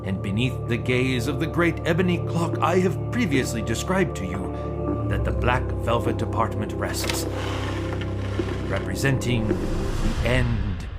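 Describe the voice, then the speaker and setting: An actor playing a solemn, deferential viceroy with a formal, florid, portentous manner in a gothic audio drama. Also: male voice, medium pitch, expressive, unhurried, close to an everyday voice. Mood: ominous. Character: solemn, theatrical viceroy courtier, deferential and ominous. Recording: loud music behind.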